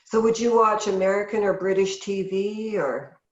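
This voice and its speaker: A woman's voice: mid-range voice, moderate pace. A woman hosting a podcast asks curious, conversational questions in a mid-range voice. The sound is a bit dull and carries a roomy echo. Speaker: podcast host. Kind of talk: casual interview questions.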